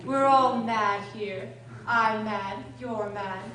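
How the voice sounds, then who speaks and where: A woman's voice: mid-range voice, takes it slowly, sharp tone. A woman playing a mad, grinning cat who talks in teasing, matter-of-fact riddles in a storybook radio drama. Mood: playfully mad. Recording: echoey room, distant mic, faint noise.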